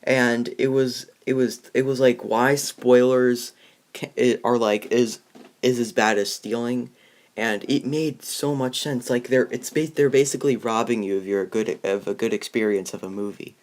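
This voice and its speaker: A teenage boy in a casual movie discussion, talking in a halting, rambling way. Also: mid-range voice, fairly even intonation. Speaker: movie review host. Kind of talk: casual chat.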